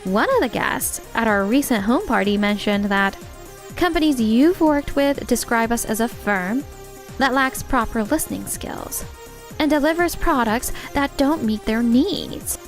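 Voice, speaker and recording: A woman's voice: fairly high pitch, animated, measured pace, soft background music. A woman voicing a poised, encouraging young office supervisor in a manga dub, earnest and frank but kind. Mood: gently firm. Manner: composed, earnest, supportive. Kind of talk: anime-style dubbed dialogue.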